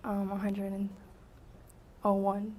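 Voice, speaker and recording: Soft-spoken young woman, flat and quiet. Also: medium pitch, slow. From Iraq. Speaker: witness. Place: courtroom witness stand.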